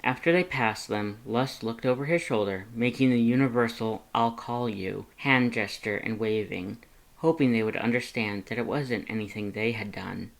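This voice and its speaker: Fan fiction audiobook narrator, mid-pitched voice, scripted storytelling. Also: moderate pace, low-quality recording.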